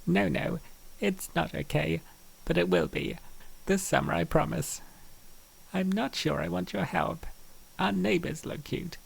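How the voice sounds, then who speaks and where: A man voicing bantering young college women roommates in a comic dub, with a raspy, creaky voice. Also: a little high, unhurried, long pauses, heavily acted, rough recording. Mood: bickering.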